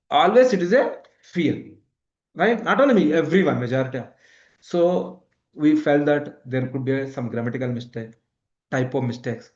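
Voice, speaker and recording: A man's voice: a little high, darkish tone. South Indian man teaching, dull lo-fi audio. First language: Kannada. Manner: repetitive, checks understanding with frequent tag questions. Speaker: instructor. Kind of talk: live online lecture with screen demonstration.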